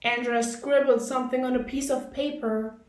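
A woman reading a children's storybook aloud as narrator, in a deliberate, careful and expressive way. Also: sits high, echoey room, rough recording. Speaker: storybook reader.

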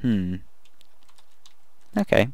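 A low, gravelly male voice, croaky late at night, rambles and thinks aloud as he builds in a video game. The sound is close and dry, with no room around it, and he wanders off mid-sentence and pokes fun at his own work. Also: very animated, extremely slow, a little mellow, no echo. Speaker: gaming video creator. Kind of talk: casual commentary over a building game.

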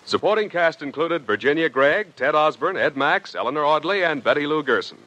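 A man's voice: fairly high pitch, animated, a little fast, sharp tone, highs cut off. A man with an American accent announcing from a script in 1950s radio style. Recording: vintage 1950s radio recording. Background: music.

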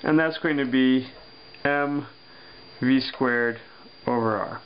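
A man teaching physics, working a problem step by step on paper and thinking aloud with pauses and fillers. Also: mid-range voice. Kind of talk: worked-example lesson over paper. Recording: dull lo-fi sound with faint hum.

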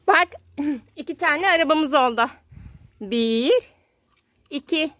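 A woman's voice: high voice, slow, a bit bright, no room sound. A mother playing with toy cars outdoors and counting them for her small child, in a sing-song voice full of toy sound effects. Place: outdoors in a garden.

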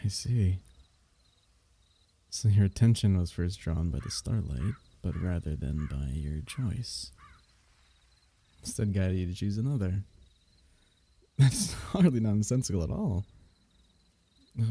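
A man acting as an affectionate fantasy court diviner for an ASMR roleplay. His voice is low and very dark and mellow, with gravelly fry at the edges. He speaks in courtly, teasing phrases, halting softly as he goes. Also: expressive, exaggerated voice. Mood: teasing, fond. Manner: halting, teasing, soft chuckles, courtly wording.

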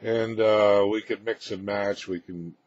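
A man giving an unscripted technical walkthrough as a presenter, in a halting, matter-of-fact way with a husky voice. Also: fairly low pitch, fairly even intonation, a little mellow. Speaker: presenter demonstrating his radio equipment. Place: indoor room with equipment rack. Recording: roomy, slightly muffled home-video sound.

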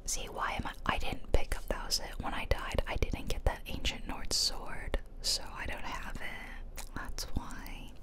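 A woman whispering casual, playful game commentary in a breathy ASMR style, close to the microphone. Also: measured pace, few pauses. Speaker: gaming video host. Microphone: close ear-to-ear ASMR microphone.

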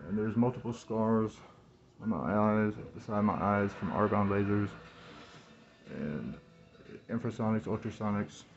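A man in his thirties in a rambling, halting monologue, repeating words and phrases, recorded on a phone indoors through a cloth mask. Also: on the low side, creaky, highs cut off, faint music behind. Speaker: vlogger talking to camera. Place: small room indoors. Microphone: phone at arm's length, speaking through cloth mask.

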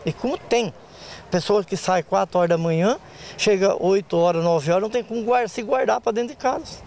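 A man's voice: high-pitched, a little fast, darkish tone. An older man with a Brazilian Portuguese accent, a market association president speaking plainly in a street interview into a handheld mic outdoors. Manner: plain-spoken, rural speech, repeats words. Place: open-air market lot outdoors. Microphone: handheld reporter mic.

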